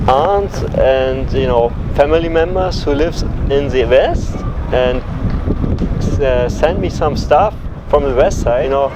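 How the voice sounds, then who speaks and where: German man, halting, over wind noise. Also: mid-range voice. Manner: halting, leans heavily on "you know", laughs easily. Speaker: interview guest. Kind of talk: casual interview chat. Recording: noisy background with wind on the mic.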